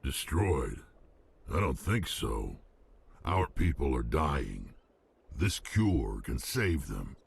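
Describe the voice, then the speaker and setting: An actor playing a gruff, proud, embittered male alien warrior in a video game, blunt and forceful, with a deep gravelly voice. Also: very animated, takes it slowly, slight background noise, heavily acted. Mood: grim.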